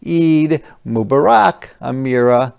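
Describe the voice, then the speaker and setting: A man's voice: mid-range voice, animated, slow, gravelly, darkish tone, clean tone. Man voicing a caring, practical storybook mom. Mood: reassuring. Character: caring mother, gentle and practical storybook parent.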